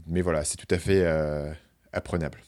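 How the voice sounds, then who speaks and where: A man hosting a casual conversation, asking questions in a relaxed way with fillers. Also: rumbling low, moderate pace. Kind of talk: casual interview chat.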